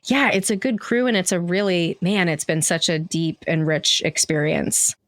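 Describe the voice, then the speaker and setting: A woman's voice: lowish voice, lively intonation, normal speed, fairly bright tone, dry recording, clean studio sound. A woman hosting a podcast, chatting casually and informally in an effusive way. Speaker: podcast host. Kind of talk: casual warm interview chat.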